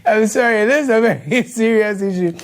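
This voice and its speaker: A man's voice: high voice, brisk, slight background noise. A young man with a Ghanaian accent hosting a satirical news show from a studio, playful and sarcastic. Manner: playful, sarcastic, laughs while talking.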